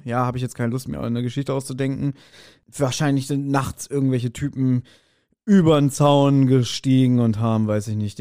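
A man's voice: medium pitch, darkish tone. A man co-hosting a podcast in casual chat, speculating in a playfully self-assured, teasing way. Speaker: podcast co-host. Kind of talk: casual chat, speculating about an audio drama.

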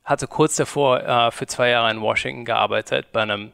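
A man in his forties from Germany, a company co-founder giving an interview, who talks haltingly with many fillers and restarts. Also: medium pitch, steady tone, gravelly, fairly bright tone, smooth, no echo, studio quality. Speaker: guest, media company co-founder. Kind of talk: conversational interview.

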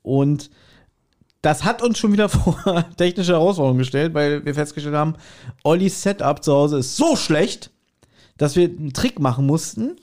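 A man's voice: fairly high pitch, lively intonation, normal speed, darkish tone. A man chatting casually as a co-host on a podcast.

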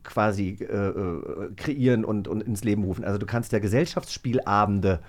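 A man's voice: fairly low pitch, normal speed. A man speaking informally and enthusiastically as a co-host in a casual podcast chat.

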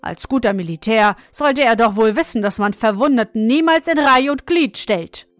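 A woman reading aloud, voicing a firm, fair-minded father who speaks in a measured, admonishing way. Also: very high-pitched, expressive, fairly bright tone, no echo, studio quality, soft background music. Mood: stern.

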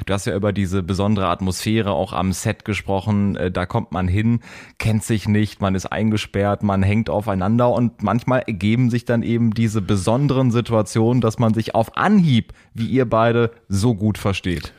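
A man with a lowish voice works as a podcast host. He talks conversationally to his guest, and the sound around him is very dry. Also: steady tone, moderate pace, darkish tone, dry recording. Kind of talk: conversational interviewing.

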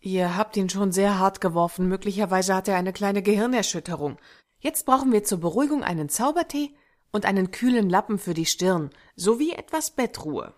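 A good storybook fairy , played by a woman, helpful and sensible. The voice is middle-pitched and matter-of-fact, in a fairy-tale audio play for children. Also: lively intonation, a little fast, a little mellow, close to an everyday voice. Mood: concerned. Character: helpful, level-headed female fairy godmother with reservations.

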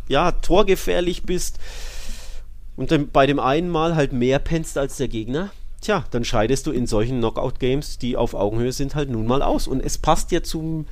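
A man's voice: mid-range voice, moderate pace. A man co-hosting a football podcast, giving opinionated analysis in a casual, colloquial and emphatic way.

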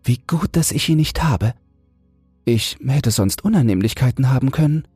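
A man as a little girl toad, high voice, wistful, earnest, simple storybook creature. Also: expressive, normal speed, darkish tone, husky, no room sound, soft background music. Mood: relieved.

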